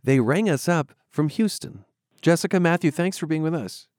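A man's voice: fairly high pitch, normal speed, darkish tone, dry recording, studio quality. A man with an American accent hosting a radio program, moving between scripted narration and interview questions.

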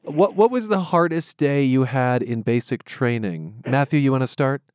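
A male radio host with an American accent and a medium-pitched voice. He reads narration and puts questions to guests, recorded dry with very little room sound. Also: animated, normal speed, a little mellow, clean tone, no echo. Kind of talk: scripted narration and interview.

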